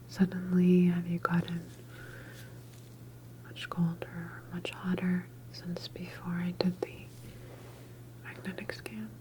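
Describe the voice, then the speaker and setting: A woman whispering softly and breathily in an ASMR medical roleplay as a calm, reassuring doctor examining a patient. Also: fairly low pitch, slight background noise, low-quality recording. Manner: gentle, unhurried, reassuring bedside manner. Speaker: ASMR performer playing a government facility doctor.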